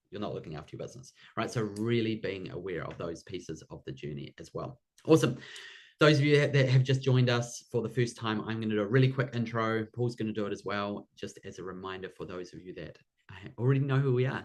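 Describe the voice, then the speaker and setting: Male workshop host, New Zealand accent, lower-pitched voice, encouraging coach who often checks for agreement. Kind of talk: live online workshop presentation.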